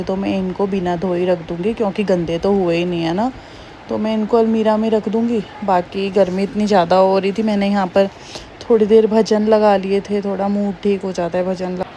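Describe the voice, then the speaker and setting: A woman in her thirties with a slightly hoarse voice, chatting homely and rambling through her daily vlog. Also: mid-range voice, flat delivery, normal speed, mellow, slight background noise. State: hoarse, slightly sore throat. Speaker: vlogger. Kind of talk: casual daily-life vlog narration.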